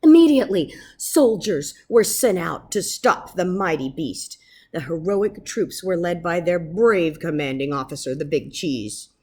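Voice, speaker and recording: A woman reading a children's story aloud as narrator, dramatic and expressive. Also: fairly low pitch, unhurried, breathy edge. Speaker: storybook reader. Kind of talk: animated read-aloud narration.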